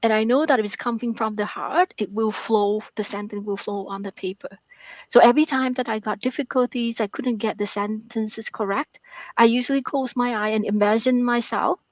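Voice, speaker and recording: Soft-spoken hesitant woman, dry sound. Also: on the high side, dry recording, poor audio. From Vietnam. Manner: hesitant, many fillers and repeated words. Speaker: author and math professor, guest. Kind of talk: interview answers.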